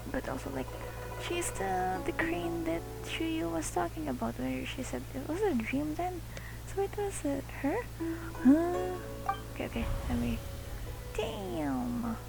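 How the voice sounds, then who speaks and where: Casual female game player, slow and fragmentary reactions, lots of vocal fry, thinking aloud. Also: high voice, expressive, over loud music, rough recording. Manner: musing, halting, thinking aloud. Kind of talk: casual reaction commentary over gameplay.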